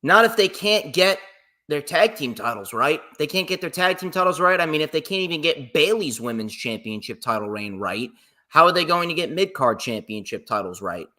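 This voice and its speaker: A man's voice: on the high side, expressive, moderate pace, pushed and bright. A young man co-hosting a casual wrestling podcast, swearing freely and arguing back with a laugh. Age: young adult. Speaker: podcast co-host and DJ.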